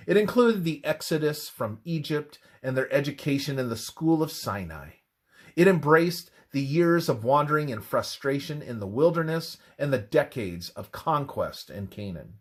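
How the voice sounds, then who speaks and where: A man reading scripted religious narration in a solemn, measured, emphatic manner. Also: medium pitch, slightly rough.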